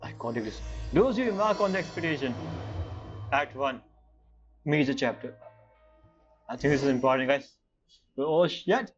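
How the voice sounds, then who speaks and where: Male game streamer, casual reactions, halting phrasing, mid-range voice, muffled mic, faint music. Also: medium pitch, animated. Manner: halting, non-fluent English phrasing. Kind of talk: casual gameplay reaction commentary.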